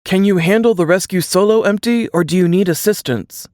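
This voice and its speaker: A narrator voicing a brisk, businesslike mission-control dispatcher with crisp military radio phrasing in a suspense audiobook. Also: mid-range voice, a little mellow, no echo, studio quality, voice pushed up, natural voice. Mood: puzzled, businesslike.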